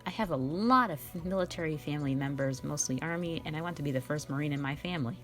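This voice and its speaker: A woman's voice: low-pitched, quick pace, a bit bright, soft background music. A young woman hosting a casual question-and-answer chat, giggly, rambling and playfully self-mocking. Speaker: online channel host and amateur voice actor.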